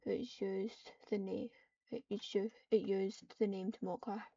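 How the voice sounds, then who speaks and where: A woman's voice: medium pitch, flat delivery, measured pace, gravelly, warm tone, a little hiss or hum. A woman improvising story narration as a player in an online game roleplay. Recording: dull, distant home microphone.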